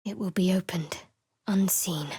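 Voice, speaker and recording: A woman voicing a guarded young female cleric of a dark goddess in a video game, cool, reserved and wry, whispering her prayers close to the mic. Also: lowish voice, unhurried, husky, no echo. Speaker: game companion character. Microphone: close studio mic.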